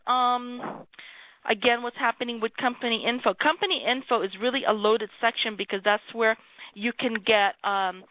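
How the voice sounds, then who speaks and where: Bright, crisp-edged woman giving a briefing. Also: fairly high pitch, no room sound, low-quality recording. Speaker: company representative. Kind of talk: informational question-and-answer briefing.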